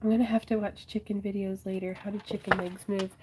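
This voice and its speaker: A woman's voice: mid-range voice, little pitch movement, fast talker, background noise. Congested woman chatting on a craft stream. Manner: chatty, reads viewer comments aloud, frequent soft laughs. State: congested and losing her voice, coughing. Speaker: craft livestream host. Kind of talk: casual livestream chat while crafting.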